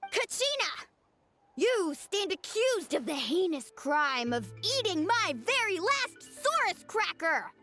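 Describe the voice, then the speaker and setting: A woman's voice: extremely high pitch, sing-song, takes it slowly, bright, forceful tone, exaggerated voice. A woman voicing a bubbly young girl in an anime-style game, theatrical and flustered in mock outrage, with music behind her.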